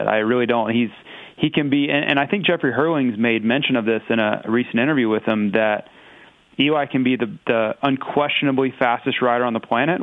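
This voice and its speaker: A man giving casual sports analysis as a podcast panelist, musing in a measured, hesitant way. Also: mid-range voice, dry recording, phone-quality sound.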